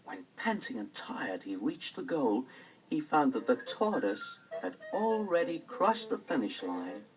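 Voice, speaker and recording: A man narrating a children's bedtime story in a dramatic, expressive scripted style. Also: medium pitch, unhurried, distant mic, poor audio. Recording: vinyl record with music behind.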